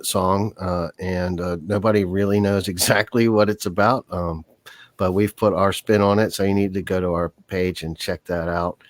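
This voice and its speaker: An older man hosting a live podcast, with an easygoing, rambling way of talking full of fillers, his voice a little hoarse. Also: low-pitched, dry recording. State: hoarse, voice giving out. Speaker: podcast host. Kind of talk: casual live podcast chat.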